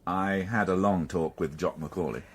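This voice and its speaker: An actor playing a guarded long-serving police inspector, terse and dryly deferential, in a radio drama. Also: male voice, deep voice, normal speed, lightly acted. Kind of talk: British radio drama acting.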